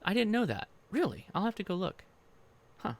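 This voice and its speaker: A man's voice: sits high, very animated, moderate pace, dry recording. An American man hosting a casual livestream, explaining in an informal, rambling, enthusiastic way as he answers viewer comments. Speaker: host of a ham radio channel.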